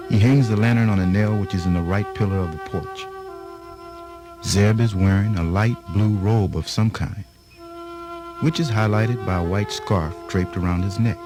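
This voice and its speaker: A man reading scripted narration for radio theatre in a measured, descriptive manner. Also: deep voice. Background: flute music.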